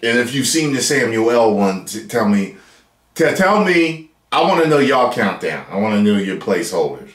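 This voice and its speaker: An American man in his thirties giving casual, playful reaction commentary as a video host, loud and repeating his phrases. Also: mid-range voice, big pitch swings, moderate pace. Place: small room at home, indoors. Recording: roomy, echoey home recording.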